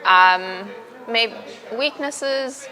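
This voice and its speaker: A young woman from South Africa with a South African accent, a university student answering interview questions in a relaxed, chatty way with many fillers. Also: fairly high pitch, drawn-out words, a crowd in the background, poor audio. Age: young adult.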